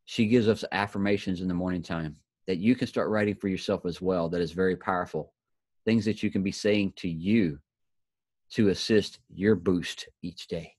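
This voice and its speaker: Low-voiced man hosting a motivational group. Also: normal speed, darkish tone, no room sound. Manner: folksy, encouraging. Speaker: host of a daily inspirational group.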